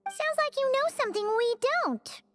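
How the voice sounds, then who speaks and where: A woman voicing a small, childlike fairy companion in a video game, squeaky and chatty. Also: expressive, normal speed, soft background music. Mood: curious. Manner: refers to self in third person, chatty.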